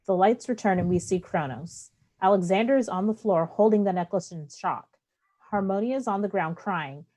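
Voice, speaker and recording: A woman's voice: medium pitch, moderate pace, mellow. A woman reading stage directions as the narrator of a play, in scripted narration.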